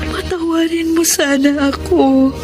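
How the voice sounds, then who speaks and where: An actress playing a remorseful sister-in-law in hospital in a Filipino radio drama, speaking haltingly and emotionally. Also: female voice, very high voice, steady tone, over music. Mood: tearful remorse.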